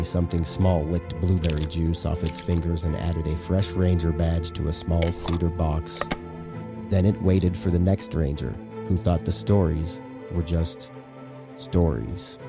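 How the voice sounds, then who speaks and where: A man reading a scripted horror story as narrator of an audio drama. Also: low-pitched. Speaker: podcast producer and drama narrator.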